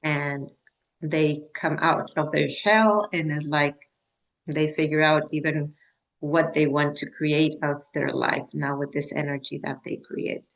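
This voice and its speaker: A woman in her fifties from Mexico, a menopause wellness coach, talking on a video call in a halting way, with many restarts and fillers. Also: deep voice, steady tone, lo-fi sound. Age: 50s. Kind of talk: casual video-call conversation.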